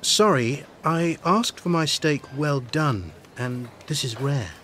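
A man reading a scripted coursebook dialogue as a visiting journalist, clear and friendly. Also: fairly high pitch, slow pace, fairly bright tone, a little hiss or hum. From England.

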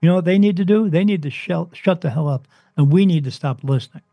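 A man from New Jersey, a spirit medium interviewed as a guest, talking emphatically and insistently, his voice soothing and deep. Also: fast, creaky, no room sound, lo-fi sound. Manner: emphatic, insistent, swings up in pitch when stressing points. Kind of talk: interview, impassioned preaching monologue.

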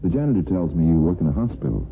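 An actor playing a smooth, persistent neighbor courting a woman in a vintage radio soap opera, with a suave, teasing, courtly manner. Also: low-pitched, very animated, racing. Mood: smooth flirting. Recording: lo-fi vintage radio, faint music.

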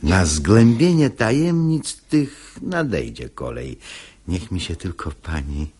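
An actor playing a sly, hypocritical pious seducer in a radio verse comedy, with an unctuous, persuasive theatrical delivery. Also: male voice, sits low, big pitch swings, takes it slowly, darkish tone, husky, heavily acted. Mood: smooth persuasion. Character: sly hypocritical pious seducer, smooth-talking male schemer. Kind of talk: classical stage comedy radio acting in verse.

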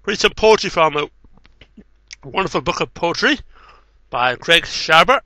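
High-pitched, bright-voiced man reading poems. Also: animated, a little slow, dry recording. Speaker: amateur poetry reader and video maker. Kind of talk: amateur poetry reading with casual asides. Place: small cluttered bedroom. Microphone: headset microphone.

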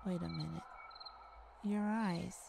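A woman's voice: fairly low pitch, fairly even intonation, slow, gravelly, exaggerated voice. Woman doing a nervous caring mage girl, asmr roleplay, takes her time, noisy ambience behind. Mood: anxious. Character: nervous, caring young mage rescuing a friend.

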